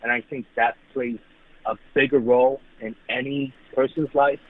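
A man's voice: mid-range voice, steady tone, normal speed. A man from New York calling in to a talk show, speaking hesitantly with many restarts and fillers. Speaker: caller. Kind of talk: call-in radio talk. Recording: tinny phone line.

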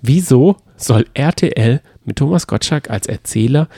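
Ein Mann plaudert als Co-Moderator eines Podcasts locker über Reality-TV, sarkastisch und meinungsfreudig. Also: male voice, medium pitch, animated, normal speed, gravelly, warm tone, husky, no echo, clean studio sound. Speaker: podcast co-host. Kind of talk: casual chat about reality TV.

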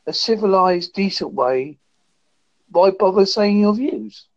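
A man in his fifties talking calmly and thoughtfully on a video call. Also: sits high, lo-fi sound. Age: 50s. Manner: calm, measured, reflective. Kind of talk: casual conversation.

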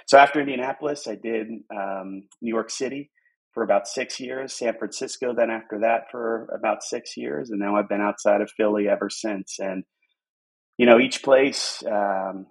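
A man from Pittsburgh in his forties, a podcast guest chatting casually, telling animated stories with frequent restarts and repeated words. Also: fairly low pitch, gravelly, rough recording. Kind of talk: casual conversation.

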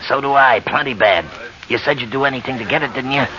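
An actor playing a brash small-time crook in old radio style, gravelly, slangy and bullying. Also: male voice, mid-range voice, fast, sharp tone, close to an everyday voice. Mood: pushy, persuading. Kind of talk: 1940s radio acting. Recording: dull, noisy old radio recording.

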